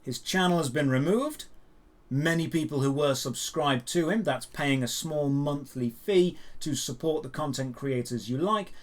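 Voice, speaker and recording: A man with a voice pitched a little above average presents news commentary as a show host. He speaks straight to the viewer in an emphatic presenter's delivery, as if working from a script. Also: measured pace.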